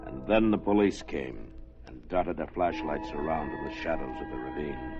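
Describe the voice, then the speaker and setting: An actor playing the grave narrator of an old radio suspense story. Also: male voice, very deep voice, normal speed. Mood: grave, measured. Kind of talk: mid-century radio drama narration. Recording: muffled old radio recording. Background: music.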